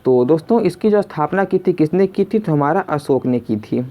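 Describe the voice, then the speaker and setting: A tutor leads a question-and-answer exam lesson at a middling pitch. Questions get restated before the answer, and listeners are addressed as friends. The recording is dull and lo-fi. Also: expressive, moderate pace. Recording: dull, lo-fi sound.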